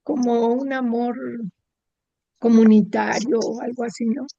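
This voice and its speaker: A woman's voice: a little high, fairly even intonation, unhurried, vocal fry, warm tone, no echo. A woman student in a class, making brief, tentative remarks and questions. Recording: dull, dry lo-fi recording.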